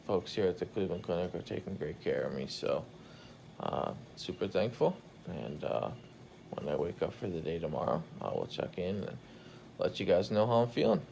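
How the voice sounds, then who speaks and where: A man in his thirties with a hoarse, croaky voice after intubation, speaking tiredly into a phone held at arm's length in a hospital room. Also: expressive, normal speed, a little mellow, slight background noise, low-quality recording. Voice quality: gravelly, croaky. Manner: tired, low-key, relieved. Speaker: patient recording a video diary. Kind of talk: casual video journal.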